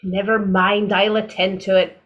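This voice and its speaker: A woman's voice: mid-range voice, flat delivery, unhurried, lightly acted. An actress playing a businesslike female starship engineer in an audio drama, reporting matter-of-factly. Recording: slightly dull, lo-fi sound.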